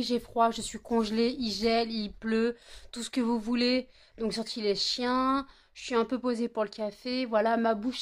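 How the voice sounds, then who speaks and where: A woman, chatting casually in a home vlog, tired and a bit stuffy with a cold, rambling with many fillers and self-corrections. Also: mid-range voice. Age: 30s. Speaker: vlogger.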